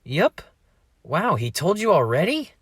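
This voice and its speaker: Man voicing an anxious, animated thirteen-year-old boy. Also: high-pitched, a little slow, slightly rough, no echo, clean studio sound, heavily acted. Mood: worried. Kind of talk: amateur fandub voice acting.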